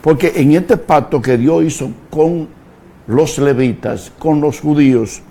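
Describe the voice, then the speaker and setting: A man preaching a fiery sermon, slow and deliberate, breaking into sudden loud shouted peaks. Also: fairly high pitch.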